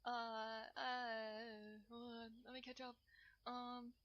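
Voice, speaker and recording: A woman's voice: fairly high pitch, flat delivery, takes it slowly, lo-fi sound. A woman livestreamer chatting casually in a sweet, apologetic, scattered way while busy in her kitchen. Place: home kitchen.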